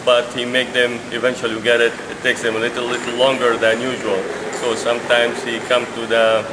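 A middle-aged man from Syria giving an unscripted testimonial after a seminar, pausing often with fillers. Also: medium pitch, completely flat delivery. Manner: halting, many fillers. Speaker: seminar attendee. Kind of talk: spontaneous testimonial. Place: indoor seminar hall. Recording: loud hiss and air-conditioning hum.

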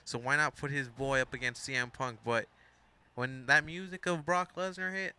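A man's voice: medium pitch, steady tone, normal speed, bright, forceful tone, smooth. A young man of Mexican background giving rambling, casual play-by-play commentary over a wrestling video game, full of asides and self-corrections.

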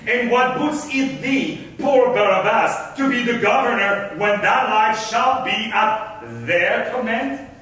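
A scheming, gloating ruler in an Elizabethan stage play, acted by a man. The voice is high and forceful, declaiming with slow deliberation. It rings through a very reverberant hall, picked up from a distance. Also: full character voice. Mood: brooding, scheming. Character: scheming, gloating new governor of Malta, a wronged man. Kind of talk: theatrical staged reading of Elizabethan verse. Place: theatre stage, indoors. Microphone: distant camera. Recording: echoey stage hall from far off, faint noise.

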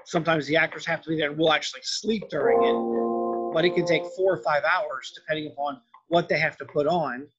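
A man's voice: fairly high pitch, brisk, fairly bright tone, lo-fi sound, soft background music. A man giving a lecture over slides in an explanatory, loosely worded, conversational way. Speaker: film and art history lecturer.

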